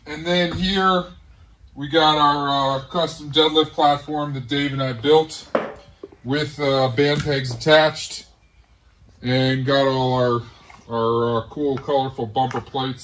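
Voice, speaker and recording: A middle-aged man casually showing off his garage gym, talking in a relaxed, rambling way with many pauses. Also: mid-range voice, far from the mic, a little hiss or hum, rough recording. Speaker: home gym owner giving a tour. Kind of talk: casual walk-through tour. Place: large garage home gym.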